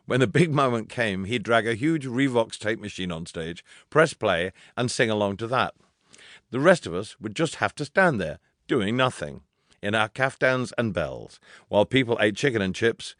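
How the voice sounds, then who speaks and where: A man reads a memoir as an audiobook narrator, in a fairly low voice. His storytelling is wry and drily funny, clearly working from a script. The recording is close and dry, with very little room sound. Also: no room sound. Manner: wry, dryly humorous storytelling.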